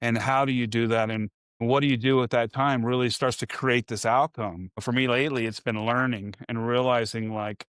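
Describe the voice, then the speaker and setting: Smooth-voiced reflective podcast host. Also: male voice, medium pitch, steady tone, moderate pace, few pauses, a bit bright, no echo. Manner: reflective, musing, long rambling questions. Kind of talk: conversational interview.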